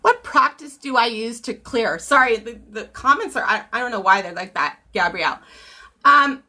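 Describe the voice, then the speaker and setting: A woman giving a casual live tarot reading. She is hoarse from a cold, clears her throat often, and uses many ums. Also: on the high side, bright, forceful tone. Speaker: tarot reader.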